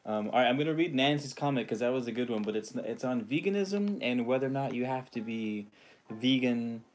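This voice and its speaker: A man's voice: medium pitch, animated, a little fast. A man from California hosting a casual livestream, rambling in a laid-back way with frequent ums and greeting viewers by name. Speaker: livestream host. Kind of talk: casual livestream chat reading viewer comments.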